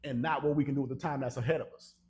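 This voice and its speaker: An American man chatting casually as a podcast co-host, telling stories and teasing his friend in an animated way. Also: mid-range voice, rapid-fire. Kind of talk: casual conversation between friends.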